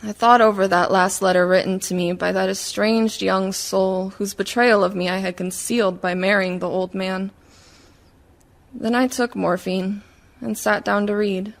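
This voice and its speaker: A woman's voice: mid-range voice, gravelly, lightly acted. Sombre, troubled young wife played by a woman. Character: troubled thirty-year-old wife, nervous and despairing. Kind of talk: theatrical monologue for community radio play.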